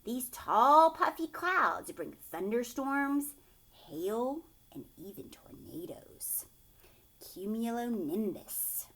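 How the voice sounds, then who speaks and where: A woman in her thirties reading aloud and explaining to young children in an expressive way, asking simple questions, recorded at home on a webcam. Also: on the high side, slow pace, rough recording. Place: living room at home, indoors. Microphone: webcam or laptop at arm's length.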